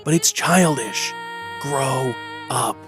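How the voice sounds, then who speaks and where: A woman's voice: a bass voice, slow, loud music behind the voice. A woman playing an exasperated superhero who runs a show in an audio drama, scolding and dismissive.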